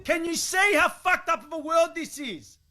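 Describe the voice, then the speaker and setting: Male ranter, extremely high and bright, confrontational, exasperated, foul-mouthed. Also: moderate pace. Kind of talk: heated rant to listeners.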